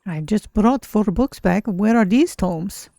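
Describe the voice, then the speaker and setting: A streamer voicing an easygoing cat-folk adventurer in a fantasy game, measured and wry, with a creaky voice. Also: medium pitch, animated, warm tone, no room sound, studio quality. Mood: curious, willing. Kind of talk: in-character game stream roleplay.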